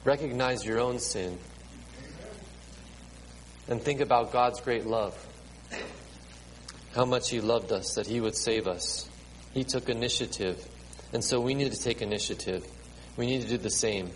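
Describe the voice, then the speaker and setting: A man in his late thirties delivers a sermon at an unhurried, deliberate pace, often stumbling over and repeating his words. His mid-pitched voice rings out in a hall with a long reverb tail, and a faint ambient hiss sits underneath. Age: 30s. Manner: halting, repeats words and fills with ums. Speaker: pastor. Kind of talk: sermon to a congregation with children.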